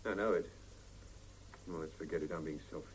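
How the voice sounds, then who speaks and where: An actor playing a well-meaning but helpless, self-absorbed young husband in a 1970s radio drama, hesitant and wheedling. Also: male voice, rumbling low, fairly even intonation, a little fast, low-quality recording. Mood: wistful. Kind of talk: 1970s radio drama, naturalistic domestic dialogue. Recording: hissy 1975 radio drama cassette, mono.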